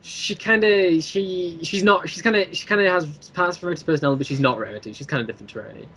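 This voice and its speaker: Male podcast guest, slightly high voice, halting and rambling, explains his creative work in a loose conversational way. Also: a bit bright, husky, low-quality recording. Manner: rambling, halting, repeats phrases as ideas form. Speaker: guest, creator of an audio drama series. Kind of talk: casual podcast chat, explaining own work.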